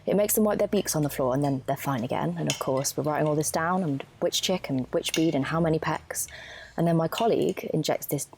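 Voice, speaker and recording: Young woman playing a matter-of-fact scientist, creaky voice. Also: sits low, a little fast, noisy background. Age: young adult. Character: earnest female lab scientist calmly explaining grisly research. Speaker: student actor. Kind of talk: naturalistic audio drama dialogue.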